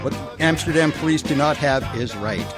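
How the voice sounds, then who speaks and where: A man's voice: fairly high pitch, moderate pace, lightly acted. A man playing a chatty, worldly hitman in a stilted radio comedy. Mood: explaining. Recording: guitar music behind dialogue.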